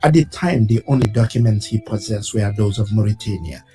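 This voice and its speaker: A man presenting a weekly news program, reading his script in a measured, solemn way. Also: on the low side, mellow, faint music behind. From West Africa.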